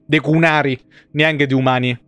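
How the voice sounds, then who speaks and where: A man's voice: a little high, steady tone, moderate pace, a bit bright, clean tone, no echo. A man giving casual, foul-mouthed and jokey live game commentary in slangy speech. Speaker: video game streamer.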